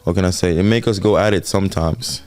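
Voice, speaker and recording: Low-voiced Jamaican man, earnest and reflective. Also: fast, darkish tone, faint music behind. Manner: earnest, reflective, repeats himself for emphasis. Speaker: podcast co-host. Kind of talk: casual couple podcast talk.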